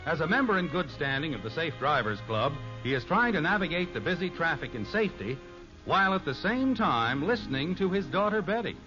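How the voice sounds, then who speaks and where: A man's voice: on the high side, big pitch swings, a little fast. An American man announcing and narrating a 1950s radio sitcom from a script. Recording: dull old radio recording. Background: music.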